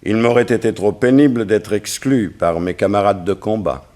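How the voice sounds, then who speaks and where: A man's voice: on the low side, normal speed, darkish tone, lightly acted. A man playing a middle-aged, troubled and dignified politician, in the formal, earnest manner of 1960s French radio drama. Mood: distressed. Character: troubled, dignified politician father of a teenage son.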